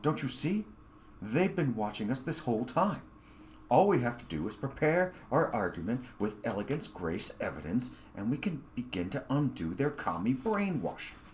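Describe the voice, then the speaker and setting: A man voicing a forceful, self-righteous leader in a story, rallying followers with emphatic, oratorical speech. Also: medium pitch, very animated, normal speed, a little hiss or hum, pitched above the performer's own voice, close to an everyday voice. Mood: insistent persuasion.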